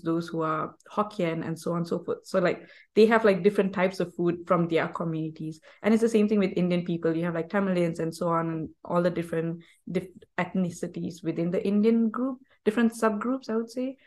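A woman from Malaysia, speaking, chatting as a podcast guest in a lively, rambling way full of "like". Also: lowish voice, brisk, few pauses. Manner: chatty and animated, heavy use of "like". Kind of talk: casual conversational chat.

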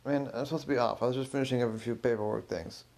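A man's voice: medium pitch, steady tone, rapid-fire, gravelly, a little mellow, natural voice. A man voicing a tired, defensive and guilty museum technician in a story, mumbling and stammering. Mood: evasive, defensive.

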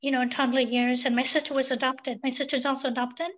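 A woman from Sweden, a podcast guest, talking thoughtfully and pausing with many fillers. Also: high voice, level tone, fairly bright tone. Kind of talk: reflective interview talk.

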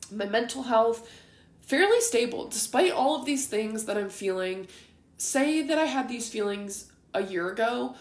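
A woman in her twenties hosting a podcast, rambling candidly in a raspy, groggy voice with plenty of ums. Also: mid-range voice, roomy sound. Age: 20s. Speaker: podcast host. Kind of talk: casual solo ramble.

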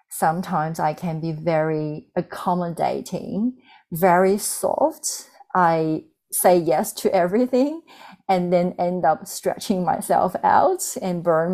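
A woman's voice: on the low side, creaky, darkish tone. A woman in her thirties from China, a native Mandarin speaker with a Mandarin Chinese accent, talking earnestly and candidly as an interview guest, with frequent ums and restarts. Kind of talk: reflective personal storytelling.

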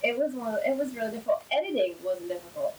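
A woman co-hosting a casual podcast from home on a cheap mic, chatty and quick to laugh. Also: a little high, animated, a little mellow, slight background noise, poor audio. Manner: chatty, laughs easily, self-deprecating. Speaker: podcast co-host. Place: home, dog nearby. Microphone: cheap microphone.

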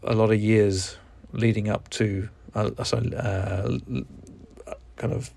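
A man in his forties with a New Zealand accent, hosting his own podcast in a reflective, halting way with frequent restarts. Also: fairly low pitch, fairly even intonation, vocal fry, darkish tone. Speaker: podcast host. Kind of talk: candid solo podcast monologue.

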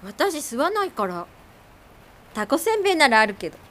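An actress in a radio drama playing a sarcastic, resentful middle-aged daughter who speaks bluntly and combatively. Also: female voice, sits high, very animated, moderate pace, fairly bright tone. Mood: casual. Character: sharp-tongued, resentful grown daughter, a screenwriter. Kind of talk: Japanese radio drama acting.

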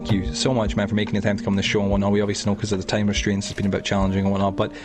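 Fast-talking Scottish man, rambling. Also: fairly low pitch, completely flat delivery, over music. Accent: Scottish, Edinburgh. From Scotland. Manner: rambling, many restarts and fillers. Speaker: podcast host. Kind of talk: casual podcast chat.

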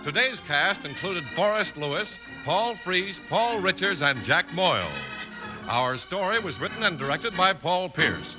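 A man's voice: sits high, low-quality recording. A man reading scripted credits and sponsor lines as a 1950s radio announcer, emphatic and polished. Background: music.

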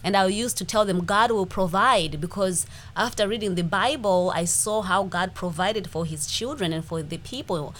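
A woman in her forties with a Zimbabwean accent, co-hosting a podcast and telling her life story in a lively way, acting out the things people said. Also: medium pitch, moderate pace, hardly any pauses, slight background noise, rough recording. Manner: animated, acts out quoted speech. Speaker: podcast co-host. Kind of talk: casual personal storytelling.

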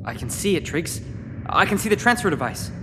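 A man's voice: fairly high pitch, sing-song, brisk. A man playing a wary, exasperated teenage boy in a sci-fi audio drama, dry and sarcastic with an anxious edge. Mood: urgent. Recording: echoing room with clear background noise.

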